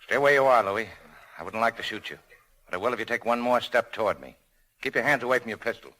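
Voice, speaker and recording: A man's voice: mid-range voice, expressive, fast, sharp tone, poor audio. A male actor playing a cynical but self-sacrificing American nightclub owner in a 1940s radio drama, with a clipped, tough, terse delivery. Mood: hard, commanding.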